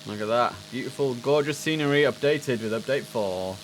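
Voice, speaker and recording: A man's voice: medium pitch, moderate pace, slight background noise, rough recording. Male game streamer, informal and chatty, talking through tower control work over noticeable vehicle ambience. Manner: chatty, informal, thinks aloud. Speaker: streamer playing an air traffic control simulator. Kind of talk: casual live gameplay commentary.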